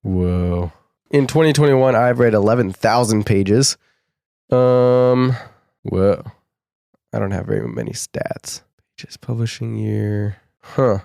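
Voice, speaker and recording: A young man co-hosting a casual podcast, a little sick and husky, talking in a relaxed, rambling way with many fillers. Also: fairly low pitch, slow pace, long pauses, dry recording, studio quality. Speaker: podcast co-host.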